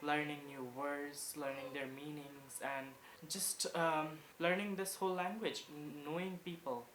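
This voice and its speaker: A woman's voice: low voice, fairly even intonation, measured pace, a bit bright. An eighteen-year-old woman, not a native English speaker, answering interview questions thoughtfully with some hesitation. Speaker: school leaver interviewee. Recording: echoey room with background noise.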